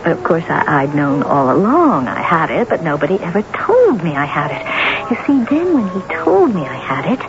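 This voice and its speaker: A woman's voice: sits low, big pitch swings, moderate pace, highs cut off. An actress playing a vain, domineering woman novelist in a radio drama, insistent, easily wounded and emphatic, repeating herself for effect. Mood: smug, elated. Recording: band-limited vintage radio broadcast with music.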